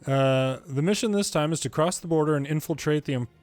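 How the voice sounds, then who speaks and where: A man's voice: fairly high pitch, brisk, a little mellow, faint music behind. A man reading video game story dialogue aloud in English, lightly acting out the different characters. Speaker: fan reader of game dialogue.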